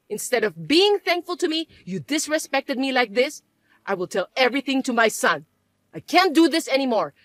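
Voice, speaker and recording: A woman's voice: high-pitched, no echo. A woman voicing a bossy, scornful older mother-in-law who scolds and accuses in an indignant, whiny way. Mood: scolding. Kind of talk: dramatized story voice acting.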